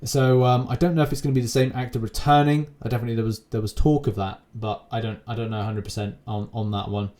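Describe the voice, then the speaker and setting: A man's voice: mid-range voice, level tone, fast talker, hardly any pauses, dark tone. A man hosting a gaming channel, giving casual, unscripted news commentary in a rambling, repetitive, informal way.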